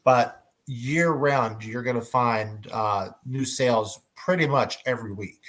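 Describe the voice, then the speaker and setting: A man moderating an informal fan panel as its host, speaking in halting, hesitant phrases. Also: medium pitch, fairly bright tone.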